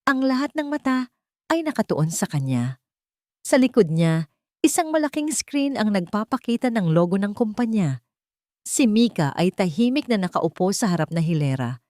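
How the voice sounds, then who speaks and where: A woman narrating a romantic drama in a steady, dramatic storytelling manner. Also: medium pitch, normal speed, dry recording, clean studio sound. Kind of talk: scripted audiobook narration.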